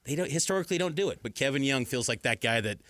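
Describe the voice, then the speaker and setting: A man's voice: mid-range voice, animated, very fast, fairly bright tone, dry recording. An American man giving casual sports analysis as a guest insider in a radio studio.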